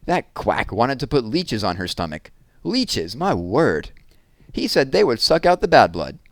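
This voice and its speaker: Man putting on a prim, spirited townswoman, raised pitch. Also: sing-song, measured pace, no echo. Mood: grateful, emphatic. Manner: emphatic, prim. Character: proper, spirited small-town married woman, outspoken.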